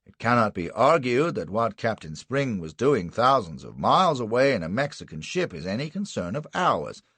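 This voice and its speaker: A man voicing an indignant, blustering male defence lawyer in an audiobook, pompous and forceful in formal speech. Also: medium pitch, sing-song, normal speed, breathy edge, no echo, pitched above the performer's own voice, full character voice. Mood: insistent, formal. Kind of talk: audiobook character acting.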